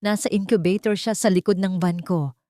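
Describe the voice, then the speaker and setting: An earnest doctor, protective and pressing, gives instructions, acted out by a woman storyteller. The voice is low and dark in a dry audio drama recording. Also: steady tone, brisk, no room sound, natural voice. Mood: urgent, grave. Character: urgent, protective doctor helping a betrayed patient.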